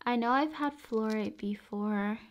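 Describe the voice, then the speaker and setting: A soft-spoken woman hosting an ASMR video, musing casually in short, paused phrases and often slipping into whispers. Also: on the high side, muffled recording. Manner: unhurried, pausing between short phrases.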